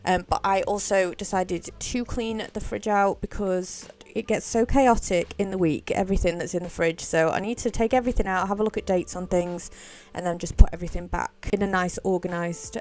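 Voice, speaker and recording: A woman's voice: medium pitch, fairly even intonation, a little fast, few pauses, darkish tone. A woman with a British accent giving a chatty, informal vlog voice-over about everyday life. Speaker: family lifestyle vlogger. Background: background music.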